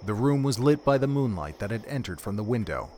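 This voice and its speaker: A man giving scripted story narration for an audio drama in a measured, even way. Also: mid-range voice, a little hiss or hum.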